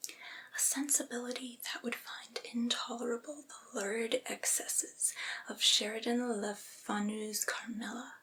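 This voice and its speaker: A woman reading a literary essay aloud for ASMR in a breathy, unvoiced whisper, with hushed, careful phrasing. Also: a little high, little pitch movement, unhurried, rough recording.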